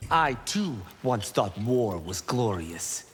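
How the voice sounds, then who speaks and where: A proud young warrior trading boastful quips in a fighting game. His pitch swings freely and his tone has some husk. The voice rings in a long hall reverb. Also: very animated, slow pace, breathy edge, exaggerated voice. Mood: confident. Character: cocky young male Shaolin warrior, proud and teasing. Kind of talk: video game fight banter.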